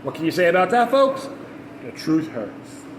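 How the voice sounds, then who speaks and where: A man hosting a podcast, reading news articles aloud with sarcastic asides and stumbling over words. He has a high-pitched voice. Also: very animated, moderate pace. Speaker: podcast host. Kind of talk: ranting commentary while reading news articles. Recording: echoey room with background noise.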